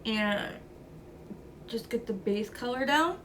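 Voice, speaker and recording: A woman's voice: on the high side, animated, takes it slowly, a bit bright, slight background noise. A young woman with a stuffy nose from a cold, talking through a makeup tutorial step by step in an easygoing way. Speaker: beauty vlogger.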